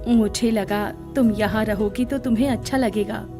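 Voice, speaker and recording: A woman's voice: medium pitch, steady tone, moderate pace, over music, lightly acted. An actress playing a kind, motherly middle-aged aunt in an audio drama, with a caring way of talking.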